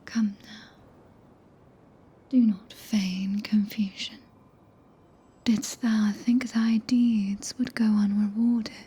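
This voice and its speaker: A woman with a British accent playing a regal, possessive witch in whispered ASMR roleplay, speaking archaically and imperiously with long pauses, close to the microphone. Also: medium pitch, flat delivery, dark tone, heavily acted. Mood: cool, teasing authority. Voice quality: breathy, whispered. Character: regal, possessive witch, cool yet tenderly commanding. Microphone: close binaural ASMR microphone.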